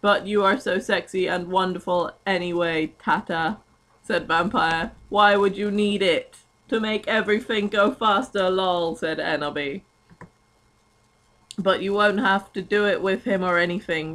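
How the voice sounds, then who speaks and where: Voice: mid-range voice, fairly bright tone. A young adult with a British accent giving an amateur mock-dramatic reading of fan fiction, giggly and often breaking off into asides. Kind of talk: mock-dramatic reading aloud mixed with casual chat.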